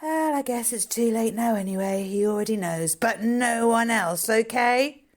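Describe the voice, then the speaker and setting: A woman's voice: on the high side, moderate pace, pitched above the performer's own voice, natural voice. Storybook time traveller, read by a woman: young, female, feisty, tart. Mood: resigned. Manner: tart, blunt. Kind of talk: character dialogue in a novel reading.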